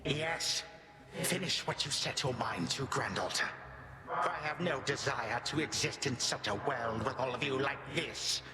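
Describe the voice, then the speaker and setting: A man's voice: mid-range voice, a little slow, lightly acted. Echoing, weary old titan played by a man. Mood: grave, commanding. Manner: solemn, archaic, formal phrasing. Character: weary, defeated old titan grandfather, bitterly proud. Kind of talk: dramatic video game voice acting. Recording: echoey hall reverb, noisy background.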